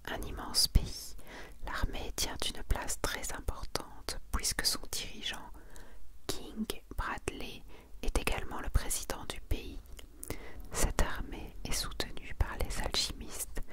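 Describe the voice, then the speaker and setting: Slow, whispering ASMR woman. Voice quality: soft breathy whisper. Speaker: ASMR creator and host. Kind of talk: ASMR manga presentation, reading summaries aloud.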